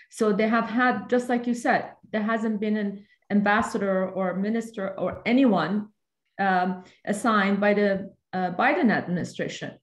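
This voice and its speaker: A woman from Iran asks a long, earnest question, rambling a little and leaning on fillers. She speaks from a roomy space that echoes. It sounds like a video call. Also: mid-range voice. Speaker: seminar participant asking a question.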